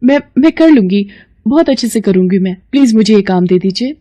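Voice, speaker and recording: A woman acts out a young dreamer in an animated story. She is earnest and eager, with a soft, mellow tone. Her manner is timid and pleading, tripping slightly over her words. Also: mid-range voice, fairly quick, lightly acted.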